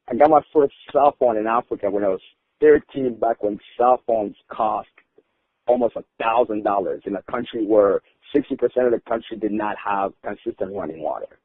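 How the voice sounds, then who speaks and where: A man from Rwanda recounts his past as a podcast guest, pausing often on uh and starting sentences over. His voice sits low. It comes through a thin, dry, phone-like line. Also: breathy edge, no echo. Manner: hesitant, many uh fillers and restarts. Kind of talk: podcast storytelling.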